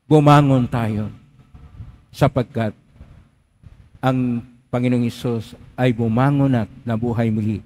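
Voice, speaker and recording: A man's voice: medium pitch, a little mellow, slight background noise. A man, a priest leading Mass prayers and giving thanks and a blessing in a solemn, intoning manner.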